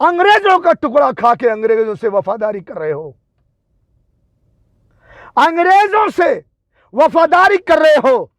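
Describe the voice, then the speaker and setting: A man's voice: very high-pitched, big pitch swings, a little slow, pauses often, a bit bright, no echo, clean studio sound. A man delivering an angry, confrontational political and religious tirade, taunting with rhetorical questions and emphatic repetition.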